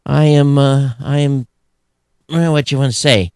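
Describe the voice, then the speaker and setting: A man's voice: mid-range voice, fairly even intonation, normal speed, darkish tone, smooth, no echo, clean studio sound. An American man chatting casually and unscripted as a podcast co-host, in a rambling, conversational way. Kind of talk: casual unscripted show chat.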